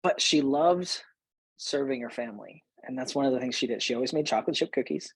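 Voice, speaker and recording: Man, late thirties, coaching show host, rough-edged voice, dry sound, conversational, emphatic storytelling. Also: mid-range voice, animated, brisk, no room sound. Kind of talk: personal storytelling to listeners.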